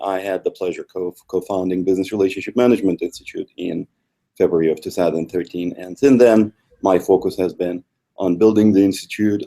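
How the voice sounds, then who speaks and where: A middle-aged man from Ukraine with a Ukrainian accent, a guest speaking in a measured way with small slips and restarts. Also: low voice, mellow. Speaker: guest, institute co-founder. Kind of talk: video interview.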